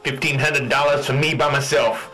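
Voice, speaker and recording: A man playing a gritty, boastful fisherman in a spoof radio drama, folksy and deliberate. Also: mid-range voice, little pitch movement, sharp tone, lightly acted. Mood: grimly boastful. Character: gritty, boastful old-salt fisherman for hire. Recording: music behind the voice.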